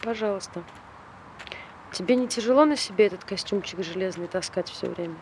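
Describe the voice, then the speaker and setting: A woman's voice: medium pitch, fairly quick, a little mellow, a little hiss or hum, lightly acted. An actress playing a blunt, needling woman who questions her friend, in naturalistic stage-play dialogue. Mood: teasing, needling. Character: blunt, teasing, wary woman friend of Tolya.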